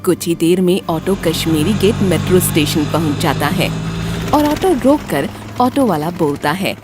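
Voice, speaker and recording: A woman reading scripted narration for an animated storybook horror tale. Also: fairly low pitch, measured pace, loud music behind the voice.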